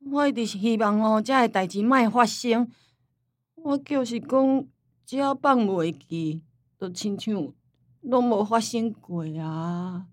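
A stubborn, forgetful old grandmother played by an actress in a radio drama. She scolds plainly in a Taiwanese accent, at a middling pitch, recorded close and dry. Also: dry recording, close to an everyday voice. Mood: sorrowful. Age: older.

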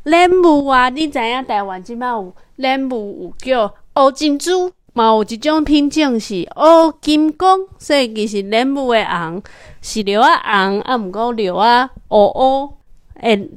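A woman's voice: on the high side, animated, unhurried, clear, resonant tone, dry recording, clean studio sound. A woman with a Taiwanese accent talking children through a picture book as their mother, asking guiding questions and repeating answers.

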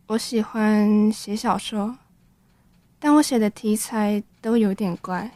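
A woman's voice: medium pitch, steady tone, slow pace, darkish tone, dry recording, close to an everyday voice. A woman playing a guarded teenage schoolgirl, dry close sound. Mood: hesitant, hurt. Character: guarded, wounded teenage schoolgirl who writes odd novels. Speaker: actress. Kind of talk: modern urban radio drama acting.

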